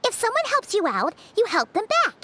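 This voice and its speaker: A woman's voice: extremely high pitch, huge pitch swings, brisk, over music, exaggerated voice. A woman voicing a tiny, chirpy female fairy companion who explains things eagerly and chatters on. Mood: explaining. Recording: clear background noise.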